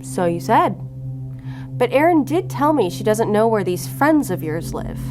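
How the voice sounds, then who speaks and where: A woman's voice: a little high, sing-song, a little slow. Cool female investigator over music. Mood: cool, needling. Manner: controlled, needling, firm. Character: cool, shrewd female investigator, bluffing and relentless. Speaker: law enforcement agent. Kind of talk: audio drama acting. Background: music under the voice.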